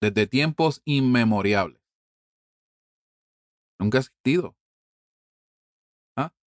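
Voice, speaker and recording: A man's voice: mid-range voice, expressive, slow, no room sound, studio quality. A middle-aged man from Puerto Rico with a Puerto Rican Spanish accent, delivering a heated, profane political monologue full of rhetorical questions.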